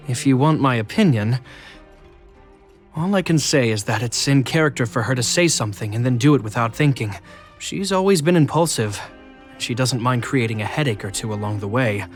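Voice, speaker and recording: An actor as an earnest young guard captain, music behind. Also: male voice, medium pitch, animated, moderate pace, long pauses, natural voice. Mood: reflective, fond. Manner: earnest, formal, reserved. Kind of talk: video game voice acting.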